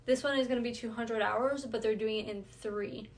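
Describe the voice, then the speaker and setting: Earnest woman thinking aloud, higher voice. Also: steady tone, normal speed, slight background noise. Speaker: wellness vlogger. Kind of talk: reflective self-awareness talk.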